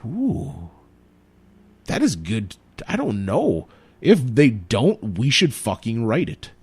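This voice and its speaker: Brief: young man, podcast co-host, jokey and profane, pitch swinging widely in loose banter. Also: wildly swooping pitch, slow pace, slightly rough. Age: young adult. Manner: joking, laughs often, swears. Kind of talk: casual comedic chat.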